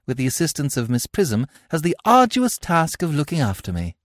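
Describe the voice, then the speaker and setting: A man's voice: a little high, huge pitch swings, normal speed, no echo, studio quality, voice pushed up. A man voicing Cecily, a naive, well-bred young woman who talks in a girlish, eager, prim way. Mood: sweetly eager. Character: young upper-class woman, sweetly naive ward.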